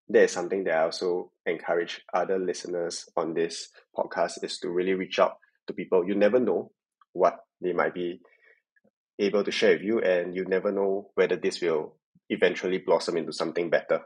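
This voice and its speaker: A young man with a Singaporean accent answers as a podcast guest. His voice is fairly low and noticeably husky, and he talks reflectively about his own experience. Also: fairly even intonation, a little fast, a little mellow, rough recording. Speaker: podcast guest, tech sales worker. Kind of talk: reflective interview conversation.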